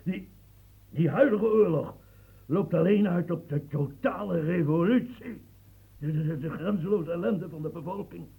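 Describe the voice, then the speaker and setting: A man's voice: high voice, normal speed, heavily acted. An actor playing an aging French statesman near death, feverish and rambling, stammering as he talks. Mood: feverish ranting. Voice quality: gravelly, stammering. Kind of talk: radio play acting. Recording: tinny lo-fi old radio recording.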